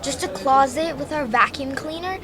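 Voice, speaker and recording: A woman playing an earnest, excitable young girl in an audio drama, talking in a hesitant, conversational way. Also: sits high, moderate pace, crowd noise, lightly acted. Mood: earnest, puzzled.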